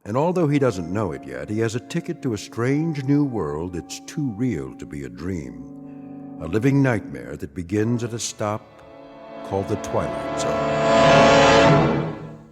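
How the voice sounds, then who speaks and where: An ominous storyteller for an eerie radio anthology. His lowish voice rises and falls dramatically, deliberate and full of foreboding, over prominent music. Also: very animated. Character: ominous, measured male narrator of eerie tales. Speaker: narrator.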